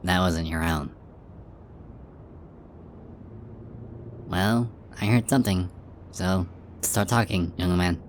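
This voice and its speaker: Man acting low-voiced, caring vampire dad. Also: a little slow, slight background noise. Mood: suspicious. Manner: hesitant, gently reassuring, parental. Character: caring, mildly stern middle-aged vampire father. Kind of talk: ASMR roleplay.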